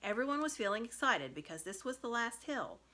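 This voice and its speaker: A woman giving an expressive scripted narration of a children's story. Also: fairly high pitch, a little fast. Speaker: storybook reader.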